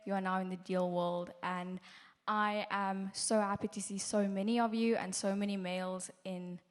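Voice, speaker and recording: A woman's voice: medium pitch, little pitch movement, moderate pace. A young woman, delivering an enthusiastic, encouraging welcome speech to first-year students. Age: young adult. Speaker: student committee speaker, master of ceremonies. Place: large lecture hall.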